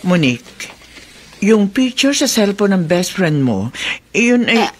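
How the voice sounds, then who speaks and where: A woman's voice: medium pitch, very animated, slow. A woman playing a caring middle-aged mother who reasons with her child in a Filipino radio drama. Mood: earnest, reassuring.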